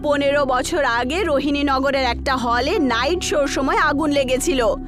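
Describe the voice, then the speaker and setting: Female narrator for animated horror tales, high voice, scripted storytelling over a music bed. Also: a little slow. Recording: background music under the voice.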